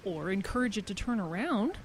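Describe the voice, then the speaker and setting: A woman's voice: medium pitch, big pitch swings, brisk, a little hiss or hum. A woman acting a thoughtful, haunted survivor in a horror audio drama, speaking in a reflective, tentative way. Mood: curious, reasoning.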